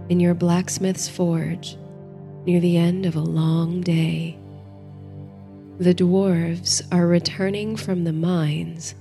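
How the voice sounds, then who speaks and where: Female sleep-story guide: soft mellow tone, very unhurried, hushed and calming delivery. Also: on the low side. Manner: soothing, hushed, gentle, unhurried. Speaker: host and narrator of a sleep story series. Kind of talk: bedtime story and guided meditation narration. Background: faint music.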